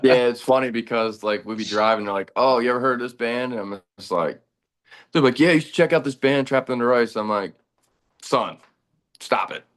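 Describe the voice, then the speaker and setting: A man in his thirties, a band's bass player, talking in a relaxed, rambling way during a casual chat. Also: brisk. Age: 30s.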